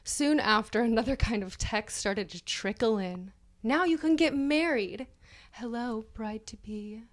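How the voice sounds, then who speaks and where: A woman's voice: on the high side, unhurried. A woman co-hosting a comedy podcast, reading an article aloud in a casual, mocking way with giggly asides. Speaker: podcast co-host.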